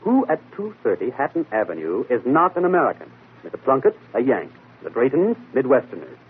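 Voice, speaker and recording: Brisk, animated 1940s radio detective. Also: male voice, medium pitch, breathy edge, full character voice. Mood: brisk, assured deduction. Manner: didactic, posing and answering questions. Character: confident, methodical male amateur detective, explaining his deductions. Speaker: detective and show host. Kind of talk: 1940s radio mystery acting. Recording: muffled, lo-fi vintage radio broadcast.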